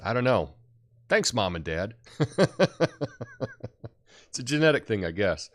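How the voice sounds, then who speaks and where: Deep-voiced man, lively delivery. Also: unhurried. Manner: loud, emphatic, swoops up high in acted examples. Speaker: show host. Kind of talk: casual monologue.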